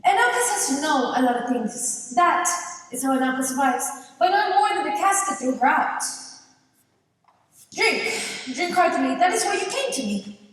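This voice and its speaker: Casting: woman as sly, mocking swamp witch, high voice, declamatory stage delivery, heard far from the mic in a reverberant hall. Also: sing-song, normal speed, a bit bright, slight background noise. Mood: knowing, taunting. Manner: theatrical, declamatory, with Spanish phrases. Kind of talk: stage play acting. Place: large indoor theater stage, distant camera. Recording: echoey theater stage from far off.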